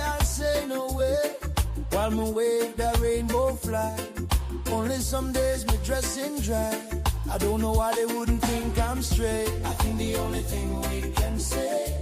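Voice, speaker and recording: Woman, singing, teasing novelty song, high voice, slow, loud reggae music. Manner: sung, teasing lyrics. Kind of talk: mocking novelty song over reggae beat.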